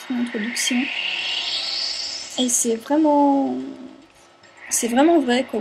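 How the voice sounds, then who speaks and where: A young woman who is not a native speaker talks through a video game in a relaxed, chatty way. Her voice sits slightly high, and she often stalls on fillers while reaching for the right word. Soft game music plays quietly underneath. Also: poor audio. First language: not French. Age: young adult. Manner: hesitant, searching for words, many fillers. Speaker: let's play commentator. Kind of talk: casual gameplay commentary.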